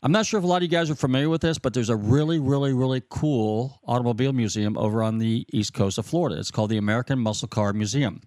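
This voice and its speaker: A man's voice: mid-range voice, fast talker, hardly any pauses, darkish tone, dry recording. A man hosting a radio talk show, from California, with an upbeat announcer delivery and some fillers.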